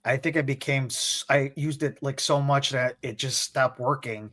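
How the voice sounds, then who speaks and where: Halting podcast co-host. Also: male voice, medium pitch, fairly even intonation, a bit bright, no room sound. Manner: halting, restarts often. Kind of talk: casual sports podcast chat.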